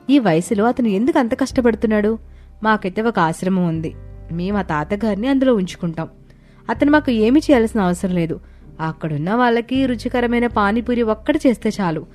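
Woman as charitable young lady, polite, earnest, medium pitch, cartoon story, light music behind. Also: expressive, normal speed, a little mellow, close to an everyday voice. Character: polite, charitable young female ashram worker. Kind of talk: animated moral story character voice. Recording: background music under the voice.

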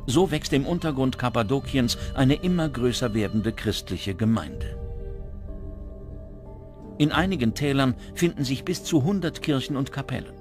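Male documentary narrator, mid-range voice, scripted delivery, clearly audible music bed. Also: medium pitch, lively intonation, normal speed. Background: music under the voice.

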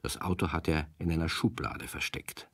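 A man's voice: rumbling low, steady tone, normal speed, very noisy. A man narrating a children's radio play with an easy storytelling cadence.

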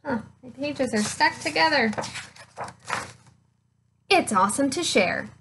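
A woman in her thirties reads a story for young children. Her voice is high and swoops up and down with lively expression. She takes her time, phrasing each line slowly and with emphasis. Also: gravelly, background noise. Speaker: nurse reading a children's picture book. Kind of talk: children's read-aloud storytelling.

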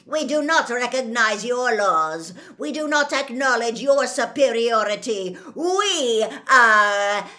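A woman's voice: medium pitch, lively intonation, slow pace, few pauses, bright, forceful tone, pitched above the performer's own voice. A woman voicing a fierce, proud, angry male centaur in an audiobook, with bellowing, haughty delivery. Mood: proud outrage. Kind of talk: dramatic audiobook character voice.

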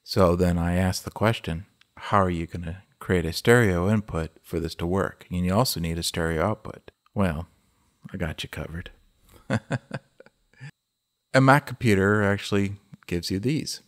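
Man, low voice, tutorial host, casual how-to style, informal conversational explaining. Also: moderate pace.

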